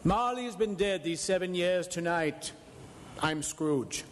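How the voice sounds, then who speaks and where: Scornful old skinflint, high-pitched, grumbling, emphatic, radio drama, echoing hall. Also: male voice, animated, a little slow, slight background noise. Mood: cold, sardonic. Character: miserly, bitter, scolding old businessman, scornful of cheer. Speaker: stage radio actor. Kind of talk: live radio drama in the manner of 1930s radio. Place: performing arts center stage. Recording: echoey theater hall, live radio broadcast.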